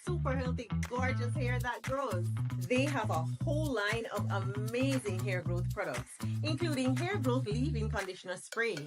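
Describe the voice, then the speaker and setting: A woman reading a scripted product advert in an upbeat sales tone. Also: normal speed. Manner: upbeat, lively sales pitch. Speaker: ad voice-over. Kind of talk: scripted ad read. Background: music.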